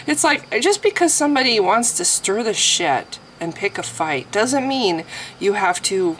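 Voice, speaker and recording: A woman vlogging in a casual, rambling way, chatty and self-deprecating, who keeps clearing a scratchy throat. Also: medium pitch, animated, a little slow, a bit bright. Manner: chatty, self-deprecating, frequent laughs and restarts. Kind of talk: casual rambling chat to camera.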